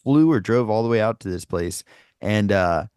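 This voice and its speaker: A man's voice: on the low side, normal speed, clean tone, no room sound, studio quality. A man from Nashville, a vocalist and podcast co-host, chatting casually and animatedly, swearing and saying "dude" often. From Nashville, USA. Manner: animated, swears freely, says "dude" a lot. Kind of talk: casual chat.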